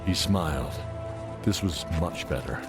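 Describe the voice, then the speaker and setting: A man's voice: low voice, a little slow, over music. A man giving scripted, dramatic narration for a fantasy audio drama.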